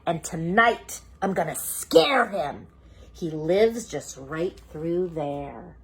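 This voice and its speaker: A woman's voice: on the low side, lively intonation, breathy edge, exaggerated voice. Woman reading a proud little schoolboy. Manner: slow, deliberate storybook reading.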